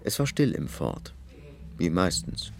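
A man's voice: low-pitched, big pitch swings, measured pace, vocal fry. A man reading scripted narration for a radio drama. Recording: music under the voice. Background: music.

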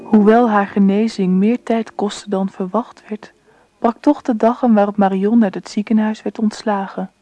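An actress playing a reflective narrator in a radio drama, telling her story in a measured, scripted way. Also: medium pitch, steady tone, warm tone, dry recording, faint music behind, natural voice. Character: reflective woman narrator recalling her childhood. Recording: dull, muffled old radio recording.

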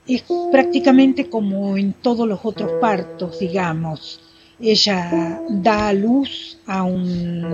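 A woman giving witness testimony, hesitant and searching for words. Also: mid-range voice. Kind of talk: courtroom testimony. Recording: dull, lo-fi archive recording. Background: music.

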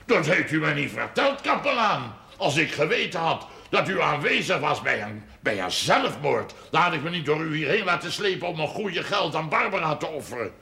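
Pompous, shrewd farmer, sharply animated voice. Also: male voice, fairly high pitch, normal speed, vocal fry, few pauses, heavily acted. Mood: reproachful, sardonic. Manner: pompous, formal, wordy speech-making. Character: shrewd, self-important wealthy farmer making pious bargains. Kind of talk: television drama acting.

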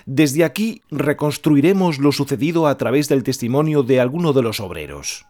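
A man's voice: mid-range voice, expressive, normal speed, a little mellow, close to an everyday voice. Grave male newsreader from a radio drama, performed by a man, Spanish accent, earnest broadcast delivery. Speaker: clandestine radio announcer.

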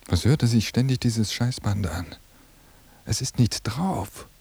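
An actor playing a brooding, seething male surveillance agent in a radio drama monologue, bitter and obsessive, with a gravelly, husky voice. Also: medium pitch, lively intonation, slow, a little mellow, heavily acted. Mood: restless, seething.

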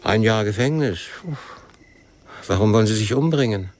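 An actor playing a world-weary, shrewd guerrilla captain who questions coolly and deliberately in a radio crime drama. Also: male voice, lowish voice, animated, darkish tone, close to an everyday voice. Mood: weary, cynical. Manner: measured interrogation with abrupt probing questions.

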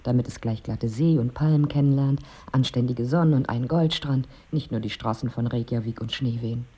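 A woman's voice: a bass voice, fairly quick, mellow, breathy edge. An actress playing a melancholy, bitter married woman in a radio drama, with a brooding, wry, weary manner and diction. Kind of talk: radio drama monologue and dialogue.

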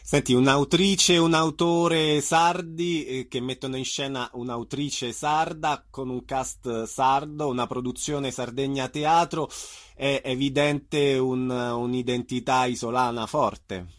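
A man hosting a conversational arts interview, putting measured, informal questions to a guest. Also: medium pitch, steady tone. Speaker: radio interviewer and host.

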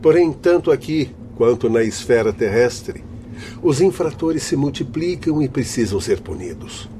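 A male actor plays a stern doctor of the spirit world in a radio play. His voice is mellow and mid-pitched, and he admonishes with formal, didactic emphasis over faint background noise. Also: lively intonation, a little slow, natural voice. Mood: grave. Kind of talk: radio drama acting.

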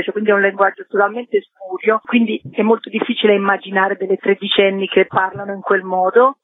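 Woman theatre director, thoughtful and wordy interviewee, flat intonation, heard through a thin phone connection. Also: mid-range voice, no room sound. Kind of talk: interview, explaining. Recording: tinny, narrow phone line on radio.

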